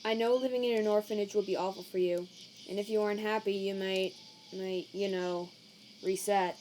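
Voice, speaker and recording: A woman voicing a weary, laid-back, protective male skeleton in a comic dub, casual and slangy, halting when troubled. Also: medium pitch, steady tone, darkish tone, a little hiss or hum, close to an everyday voice, poor audio. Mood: anguished. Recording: cricket night ambience behind.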